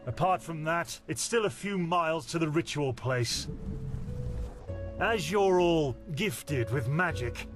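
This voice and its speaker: A man acting a stern, weary veteran warrior mentor in a fantasy video game, gruff and measured. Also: fairly high pitch. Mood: commanding. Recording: game music behind the voice.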